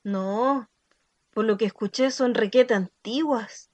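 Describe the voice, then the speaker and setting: A woman's voice: fairly high pitch, slow, a little mellow, no echo. A woman playing a frank, earthy neighbour in a radio drama, with a Chilean accent and blunt, colloquial talk. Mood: gossiping.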